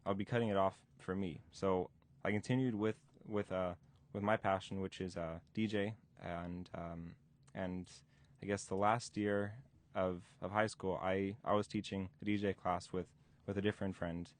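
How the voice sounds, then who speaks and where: Man, 20, very low voice, halting and filler-heavy. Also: fairly even intonation. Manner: halting, many restarts and fillers. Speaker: DJ instructor. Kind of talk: recorded interview recollection. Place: museum interview room.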